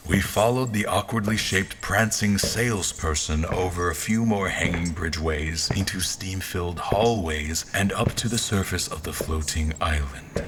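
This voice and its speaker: An actor playing a wry royal officer, giving measured, literary first-person narration of his journey in an audio drama. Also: low-pitched, background noise, lightly acted. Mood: wry, descriptive. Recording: music under the narration.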